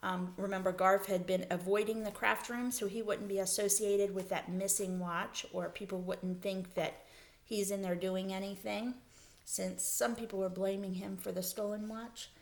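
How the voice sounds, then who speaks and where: A woman's voice: medium pitch, flat delivery, normal speed. A woman schoolteacher leading a read-aloud lesson for children, explaining things simply and putting questions to her listeners. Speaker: elementary school teacher.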